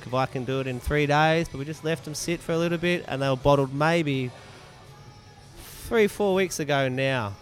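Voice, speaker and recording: A man's voice: a little high, normal speed, faint music behind. A man chatting casually as an interview guest, explaining his craft informally and repeating phrases for emphasis. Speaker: podcast guest, mead maker.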